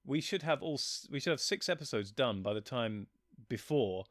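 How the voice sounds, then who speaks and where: A man with a medium-pitched voice talks casually as an interview guest. He sounds like a writer in relaxed conversation, recorded dry with very little echo. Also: normal speed. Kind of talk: casual chat.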